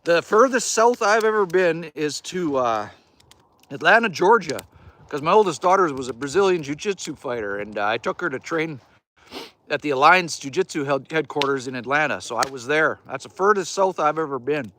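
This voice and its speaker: A man's voice: on the high side, expressive, moderate pace, a bit bright. A middle-aged Canadian man hosting a casual livestream from his cabin, chatting folksy and joking with viewers as he reads their comments. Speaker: livestream host. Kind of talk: casual live chat reading viewer comments. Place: cabin by a wood fire.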